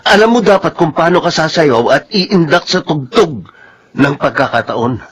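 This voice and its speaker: A man's voice: fairly high pitch, animated, moderate pace, fairly bright tone, husky, exaggerated voice. An actor playing a preachy male confidant who gives sententious advice, with a Filipino accent, in radio drama style. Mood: admonishing. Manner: sententious, emphatic. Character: high-voiced, preachy male confidant dispensing life advice.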